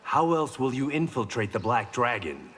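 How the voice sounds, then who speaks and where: A man's voice: mid-range voice, sing-song, normal speed, fairly bright tone, in a large hall, slight background noise. An actor playing a stoic, dry-humored blind swordsman in a fighting game, terse and guarded. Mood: confident, guarded. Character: stoic blind swordsman, reformed male criminal, proud and dry. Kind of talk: video game pre-fight banter.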